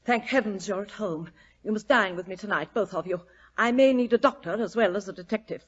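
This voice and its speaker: A woman's voice: medium pitch, fairly quick, breathy edge, natural voice. An actress playing a vain, imperious, portly middle-aged society lady, grand and melodramatic, in an old-time radio drama. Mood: dramatic alarm. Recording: dull old radio broadcast recording.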